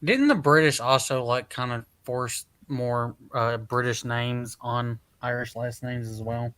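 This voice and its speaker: A man's voice: mid-range voice, a little slow, fairly bright tone, smooth, dry recording. A man with a mid-range voice and a dry, close sound. He talks loosely in a group chat and sets up teasing jokes for the others. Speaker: panelist.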